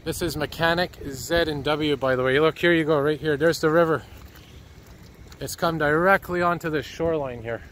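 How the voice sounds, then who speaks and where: A man, slightly hoarse from a cold, narrating as he walks by a flooded river with a handheld camera. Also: fairly high pitch, moderate pace, a bit bright. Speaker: citizen video reporter. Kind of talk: walking commentary. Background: street and water noise.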